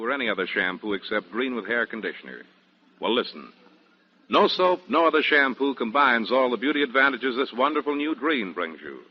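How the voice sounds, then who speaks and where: An American man reading a shampoo-style commercial as a 1940s radio announcer. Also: mid-range voice. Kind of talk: 1940s radio advertising read. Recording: dull 1940s radio broadcast.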